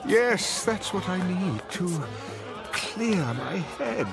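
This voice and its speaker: An actor playing a bewildered, anguished older man in a radio drama, with a halting, pleading, theatrical delivery. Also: high voice, very animated, creaky, exaggerated voice. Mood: weary, dazed. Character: anguished, bewildered older gentleman lost among his past. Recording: music and crowd chatter behind.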